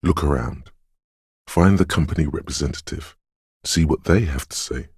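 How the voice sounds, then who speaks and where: Mystical inner voice, extremely low, heavily husky, brooding, theatrical, intimate, video game narration. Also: male voice, normal speed, no room sound, clean studio sound, full character voice. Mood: haunted, urgent. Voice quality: very deep, gravelly, husky. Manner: brooding, theatrical, addressing the listener as you. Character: dreamy, mystical inner voice of intuition, ominous and intimate.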